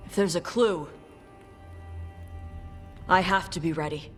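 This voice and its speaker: A man's voice: high voice, takes it slowly, a bit bright. A man voicing a stoic, dutiful warrior in a video game, terse and reflective in plain words. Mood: resolute. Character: stoic, dutiful male dragon-hunting warrior. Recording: long reverb, faint music behind.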